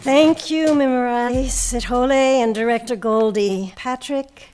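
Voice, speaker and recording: A woman with a fairly high voice hosts and interviews. She is articulate and polished, as if presenting from prepared notes. Faint music can be heard. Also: unhurried, darkish tone. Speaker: host and interviewer. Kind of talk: scripted presenting and stage interview.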